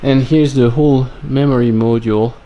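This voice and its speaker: A man's voice: mid-range voice, fairly even intonation, normal speed, highs cut off. A man hosting a casual, technical chat in an informal, conversational way. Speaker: host. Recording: background room noise.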